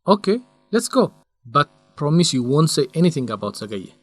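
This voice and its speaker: An earnest, cautious young friend. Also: male voice, on the high side, very animated, normal speed, dry recording, soft background music. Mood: cautious, wistful. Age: young adult. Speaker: actor in an educational radio drama. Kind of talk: radio drama dialogue.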